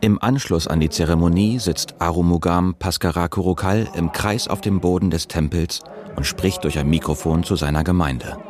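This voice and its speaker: Deep-voiced male documentary narrator. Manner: calm, measured reading. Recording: voice-over with music behind.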